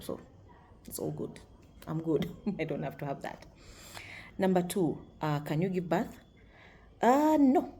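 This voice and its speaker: A woman in her forties speaks to camera in a deep, low, gravelly voice with a mellow tone. Her talk is frank and upbeat, and she laughs easily. A faint hum of background noise sits under the voice. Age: 40s. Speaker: vlogger.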